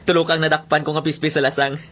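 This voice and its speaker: A man's voice: a little high, little pitch movement, quick pace, pushed and bright, soft background music. A man with a Western Visayan Filipino accent playing an eager, greedy young bird catcher, a gleeful son, in radio drama. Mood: boastful. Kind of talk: Philippine radio drama acting.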